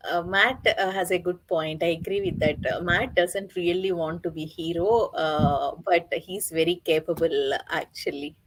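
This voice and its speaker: A woman giving her views casually in a group book discussion, with halting phrasing. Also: fairly low pitch, rough recording.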